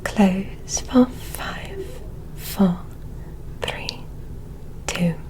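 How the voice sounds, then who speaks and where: Very slow whispering ASMR woman. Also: medium pitch, very animated, poor audio. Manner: soft, deliberate, soothing exam instructions with repeated words. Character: polite, curious alien scientist examining a human. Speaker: ASMR performer.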